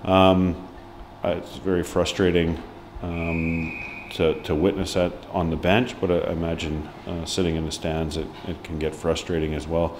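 A man in his forties speaks as a hockey head coach after a match. His voice sits very low, and he talks in halting bursts, with many ums, restarts and frank remarks. A steady hum of noise runs under the recording in a press room. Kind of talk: post-match press interview. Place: indoor press room before a sponsor board.

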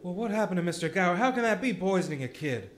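A man's voice: high-pitched, fast talker, a little hiss or hum. An actor playing a bewildered, desperate family man in a radio play, stammering, agitated and pleading. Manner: stammering, excitable.

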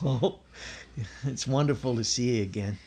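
A man's voice: medium pitch, normal speed, warm tone, breathy edge. A man playing a hapless, brash, lovesick young suitor who stammers melodramatically in an old-time radio sitcom. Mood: sheepish, smitten.

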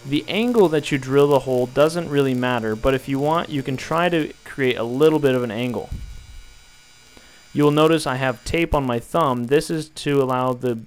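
A young man calmly walking viewers through a build, step by step, in an instructional voice-over. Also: mid-range voice, normal speed, clean tone. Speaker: DIY tutorial maker.

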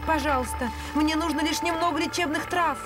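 A woman plays a gentle young cartoon heroine with a high voice. She speaks politely and earnestly, with determination, over prominent music. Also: fairly even intonation, a little fast, close to an everyday voice. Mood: earnest pleading. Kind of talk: cartoon dubbing.

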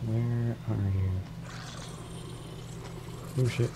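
A man's voice: medium pitch, flat delivery, extremely slow. A man with a slightly froggy voice giving casual, laid-back video game commentary, muttering to himself as he plays in his room at home. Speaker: gameplay streamer. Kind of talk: casual let's play commentary. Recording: clearly noisy home recording.

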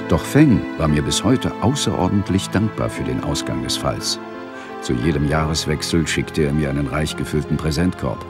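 An affable male police inspector and ghost hunter in a radio drama. His voice is fairly low and animated, natural in delivery, with faint music behind. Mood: reflective. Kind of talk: naturalistic radio play dialogue and narration.

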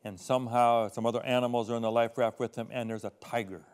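An older male pastor delivers a sermon from a studio podium. He drops to quiet, confiding asides, then builds to loud, emphatic readings of scripture. His voice sits at an ordinary middle pitch and is picked up close on a lapel mic. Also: steady tone, quick pace, clean tone.

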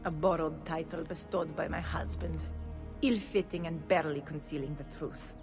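An actress playing a bitter, seductive duchess with an Italian accent in a video game, haughty and theatrical. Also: female voice, deep voice, steady tone, moderate pace, music in the background. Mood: scornful. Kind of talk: video game cutscene acting.

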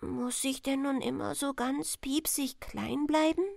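A woman as a high, childlike little girl. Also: fairly even intonation, unhurried, gravelly, a little mellow, no room sound, studio quality. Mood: worried. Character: tiny innocent little girl in a fairy tale.

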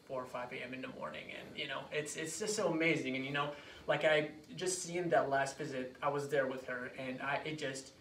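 Halting young man, echoey office recording. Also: mid-range voice, steady tone, fairly bright tone. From Iraq. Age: late 20s. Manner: halting, repeats words and phrases. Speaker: chiropractor being introduced. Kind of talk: personal story in an informal interview. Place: small office room indoors. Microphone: phone camera a few feet away. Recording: echoey room, distant mic, faint noise.

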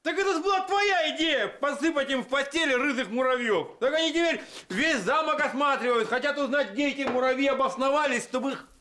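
Cheeky high-pitched baron. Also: male voice, a little fast, hardly any pauses, slight background noise. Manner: cheeky, excitable. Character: mischievous young male baron, the friend.

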